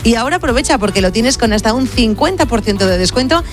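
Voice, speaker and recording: A woman's voice: fairly high pitch, animated, fast talker, over music. A woman reading a sponsor ad live on radio in an upbeat, salesy way. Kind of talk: live ad read.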